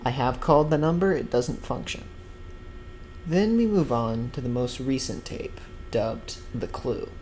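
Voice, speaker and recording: A man's voice: mid-range voice, expressive, measured pace, music in the background. A man narrating an explainer about a horror series in a scripted recap style. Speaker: video narrator.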